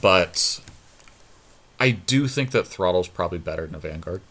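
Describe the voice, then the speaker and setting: A man's voice: deep voice, lively intonation, normal speed, a bit bright. A man co-hosting a podcast, chatting casually about card game strategy and thinking his choices through aloud. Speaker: podcast co-host.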